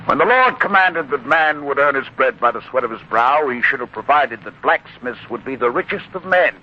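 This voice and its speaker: An actor playing a proud, loyal, warm-hearted blacksmith father in a theatrical 1940s radio drama style. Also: mid-range voice, expressive, moderate pace, natural voice. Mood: hearty. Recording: muffled, noisy 1940s radio recording.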